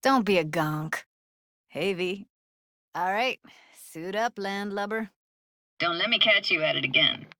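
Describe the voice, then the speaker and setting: An actress playing a tough, sardonic but warm-hearted young woman in a video game, talking in casual, clipped slang. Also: medium pitch, lively intonation, a little slow, pauses often, no room sound, clean studio sound. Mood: teasing, dry, then instructive. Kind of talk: naturalistic video game voice acting.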